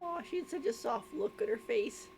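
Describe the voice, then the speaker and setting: A woman streaming a game with casual live reactions, chatty and giggly, with a husky voice. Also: on the high side, expressive, fairly quick, a little mellow, slight background noise.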